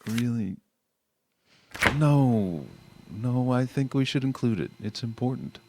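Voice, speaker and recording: A man acting in an audio drama as a middle-aged politician father, educated, defensive but caring, speaking in a conversational, gently questioning way. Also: medium pitch, lively intonation, slow pace, noisy background. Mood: puzzled, defensive.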